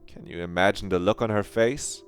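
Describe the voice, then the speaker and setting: A man's voice: lowish voice, fairly quick, soft background music. A man reading a visual novel aloud as a streamer, narrating earnestly and reflectively.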